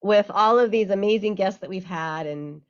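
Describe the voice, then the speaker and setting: Lady co-host on a radio talk show, excited and chatty, kinda muffled lo-fi sound. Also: mid-range voice, normal speed. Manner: enthusiastic, upbeat. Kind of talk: casual chat and guest introduction.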